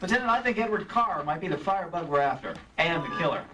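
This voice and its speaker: A man playing a brisk, dogged insurance investigator with clipped, wry delivery in a live old-time radio drama, slightly distant from the mic. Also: fairly high pitch, expressive, lightly acted. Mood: businesslike. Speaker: lead actor and narrator. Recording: live stage recording, off mic.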